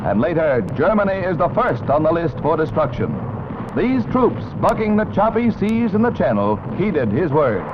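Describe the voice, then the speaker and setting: High-pitched, dramatic American newsreel man. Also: big pitch swings, normal speed, very noisy. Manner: dramatic, emphatic, declamatory. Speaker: newsreel narrator. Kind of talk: 1940s newsreel narration, scripted. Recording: dull vintage 1940s film soundtrack, loud music.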